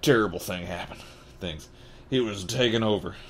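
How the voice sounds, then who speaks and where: Kindly, anxious storybook giant, played by a man: low, folksy Southern drawl, halting delivery, some background noise. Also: lightly acted. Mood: grave. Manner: halting, folksy, dropped consonants.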